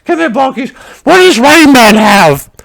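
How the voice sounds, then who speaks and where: A man doing a gravelly high-voiced old working-class wife. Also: animated, unhurried, full character voice. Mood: gossipy. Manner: rambling gossipy storytelling, shouting to husband and dog. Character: nosy older working-class woman, chatty and crude. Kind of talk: stand-up character monologue.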